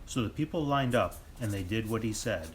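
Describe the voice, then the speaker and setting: A man's voice: lowish voice, lively intonation, fairly quick, a little hiss or hum, natural voice. A man playing a theatrical storybook narrator, telling a comic murder mystery in lilting rhyme. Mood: dramatic, wry. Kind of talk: scripted rhyming narration.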